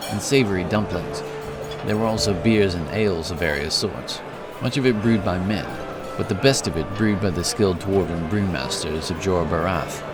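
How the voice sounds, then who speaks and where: Male narrator for fantasy audiobook, very low voice, music underneath. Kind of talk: scripted fantasy story narration. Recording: music behind the voice.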